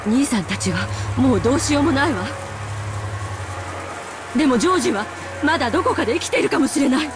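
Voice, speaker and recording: An actress playing a headstrong middle-aged aunt, curt and insistent, in an anime visual novel. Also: female voice, high voice, expressive, very fast, pauses often, over music. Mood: urgent, insistent.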